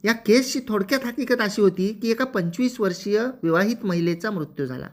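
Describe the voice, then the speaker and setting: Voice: mid-range voice, brisk. A legal explainer narrates a court judgment formally and methodically, repeating phrases to clarify.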